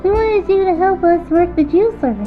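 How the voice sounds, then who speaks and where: A woman's voice: extremely high pitch, moderate pace, phone-quality sound, soft background music. A woman voicing a young filly pony, a pouty, scheming little sister with a childlike wheedling manner, in an amateur audio drama. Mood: eager.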